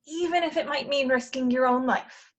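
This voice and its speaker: A woman's voice: sits high, normal speed, lo-fi sound. A woman playing a bossy, scheming matchmaker who talks in an insistent, persuasive way in a comic play table read.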